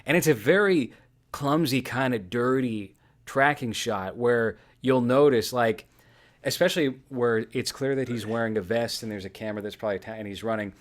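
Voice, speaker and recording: A man's voice: mid-range voice, normal speed. A male podcast host with a mid-range voice gives casual film analysis. He explains things conversationally, assembling each point a piece at a time.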